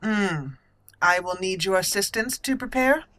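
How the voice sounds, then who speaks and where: A woman roleplaying a self-assured young female mage recruit in a fantasy game, speaking in a formal, measured way. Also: mid-range voice, a bit bright, dry recording, close to an everyday voice. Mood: composed, confident.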